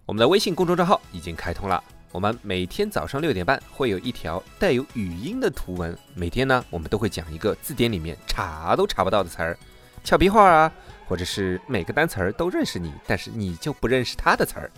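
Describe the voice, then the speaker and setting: A man giving a brisk, upbeat promotional announcement for a show's social media. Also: on the low side, very animated, fairly bright tone, soft background music. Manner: brisk and upbeat, reading out instructions. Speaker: show promoter.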